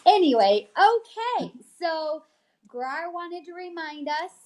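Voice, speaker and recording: A woman's voice: very high voice, sing-song, takes it slowly, darkish tone. An American woman reading a newsletter aloud on a casual livestream, chatting informally and often tagging on little check-ins. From United States. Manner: informal, frequent check-in tags like "okay?". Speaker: host, real estate agent reading a newsletter.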